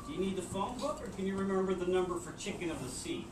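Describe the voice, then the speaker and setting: A man playing a scornful police officer who delivers deadpan taunts in a story reading. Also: high-pitched, fast. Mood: sarcastic. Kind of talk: live dramatic story reading. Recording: noisy, echoey room from far off.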